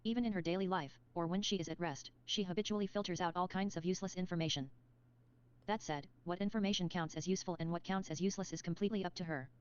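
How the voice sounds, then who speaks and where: A woman reading scripted story narration in a measured, articulate storybook manner. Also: lowish voice, smooth, dull audio, close to an everyday voice. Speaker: narrator of character lore.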